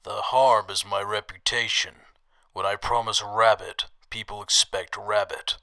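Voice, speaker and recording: No-nonsense wasteland stall cook played by a man, lowish and very forceful, blunt, practical delivery for radio drama. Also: fairly even intonation, measured pace, vocal fry, clear, resonant tone, heavily acted. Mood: firm. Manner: blunt, matter-of-fact. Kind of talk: audio drama acting.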